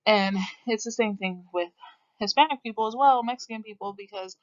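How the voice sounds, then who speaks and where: A woman's voice: mid-range voice, brisk. A young American woman talking candidly about her own life in a reflective monologue, full of casual filler phrases. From United States. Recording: slightly dull lo-fi sound.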